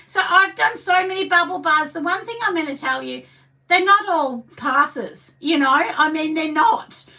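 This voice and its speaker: A chatty Australian woman with a husky voice, hosting a live craft class and rambling to her viewers. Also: sits high, animated, measured pace, bright, forceful tone. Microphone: camera set down at a distance. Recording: voice a bit distant from the mic.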